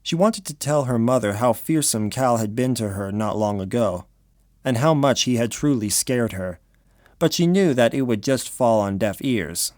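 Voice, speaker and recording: A man's voice: mid-range voice, lively intonation, normal speed, darkish tone, no room sound, clean studio sound. A man reading scripted story narration for an audio drama.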